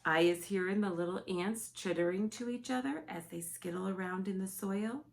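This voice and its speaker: A woman voicing a gentle, big-eared male giant who talks earnestly in mixed-up, ungrammatical words. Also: medium pitch, moderate pace, creaky, natural voice.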